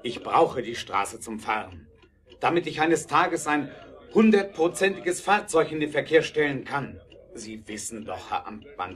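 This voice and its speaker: Insistent indignant guy in historical audio drama, higher voice, swings up and down a lot. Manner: emphatic, insistent.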